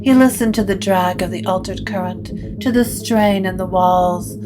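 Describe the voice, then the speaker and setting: A woman narrating scripted supernatural fiction in a measured, literary storytelling manner. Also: mid-range voice, a crowd in the background.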